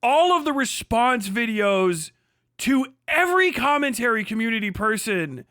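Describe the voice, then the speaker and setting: A man's voice: very high voice, big pitch swings, normal speed, pushed and bright, dry recording, studio quality. A man hosting a livestream, delivering a profane, mocking commentary rant and laughing often. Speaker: livestream host.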